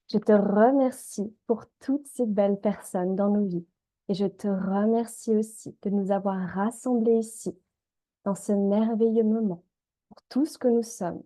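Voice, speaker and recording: Gentle, mellow-voiced woman. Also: mid-range voice. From Switzerland. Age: 30s. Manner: gentle, calm, with frequent hesitations. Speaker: energy healer and mindset coach. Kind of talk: live group healing session and guided prayer.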